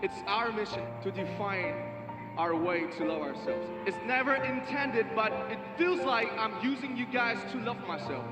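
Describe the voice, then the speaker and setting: Earnest high-voiced man in a hall. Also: animated. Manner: earnest, halting, repeats phrases. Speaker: pop singer addressing fans. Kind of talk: heartfelt speech. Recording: echoey hall recording, loud music.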